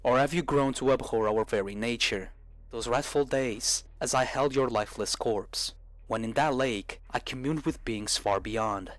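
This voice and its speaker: Horror story spirit, read by a man: drowned, vengeful, solemn and ominous, slow grave delivery, dry close recording. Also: medium pitch, no echo, pitched below the performer's own voice, lightly acted, low-quality recording. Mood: solemn menace.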